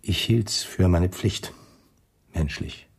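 An actor playing a cautious, guarded wartime SS official with a husky voice and measured, hesitant delivery in a German radio play. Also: male voice, low voice, exaggerated voice. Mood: confidential, careful.

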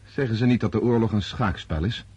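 An actor in a Dutch radio drama playing an earnest, naive civilian gentleman, inquisitive and tentative, on a slightly dull recording. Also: fairly low pitch, brisk, husky. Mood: questioning.

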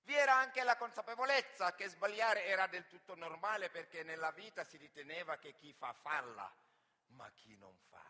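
A man's voice: high-pitched, expressive, normal speed. A man from Senegal with a Senegalese accent, an actor and griot performing a dramatic, declamatory theatrical monologue. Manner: dramatic, declamatory, swinging between raised voice and hushed delivery. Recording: reverberant hall with long echo.

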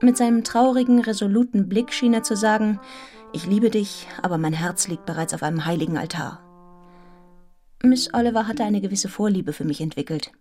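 An actress playing an earnest young village schoolmistress who tells her story in a measured, articulate radio drama narration. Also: medium pitch, mellow, natural voice. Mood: reflective. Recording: music behind the voice.

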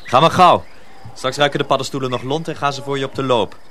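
A man playing a calm senior mill journeyman in a radio play, reassuring and gently instructive. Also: mid-range voice, quick pace, close to an everyday voice. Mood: calm, guiding.

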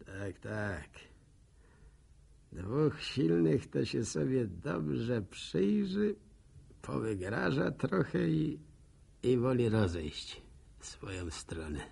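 An actor playing an old village war veteran in a radio drama, musing in a folksy manner with a gravelly, husky voice. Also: male voice, mid-range voice, sing-song, extremely slow, pauses often, a little mellow, full character voice. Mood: musing, fond. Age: older. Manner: musing, folksy, chuckling storyteller. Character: old village man, war veteran, reminiscing to his dog.

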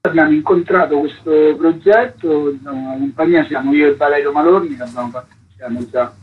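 A man's voice: fairly high pitch, a little fast, narrow, tinny audio. A man talking reflectively and at length about theatre work as a guest in an informal radio interview.

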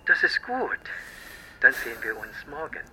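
A controlling crime boss in a radio drama. His delivery is patronizing and very varied in pitch. There is clear noise around the voice. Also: very animated, normal speed. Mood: smug control.

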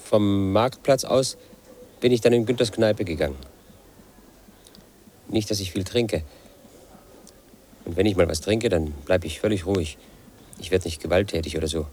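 A man's voice: deep voice, moderate pace, long pauses, darkish tone, slight background noise, close to an everyday voice. An actor in his late thirties playing a lonely, suspicious house painter, speaking a bitter inner monologue in a radio drama. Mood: brooding. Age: late 30s. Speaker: protagonist narrating his life.

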